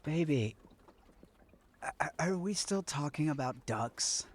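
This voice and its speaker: Casting: actor as puzzled comic male partner, slightly high voice, slow and hesitant, faint water noise. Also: lively intonation. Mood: bewildered. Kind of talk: comic stage play dialogue.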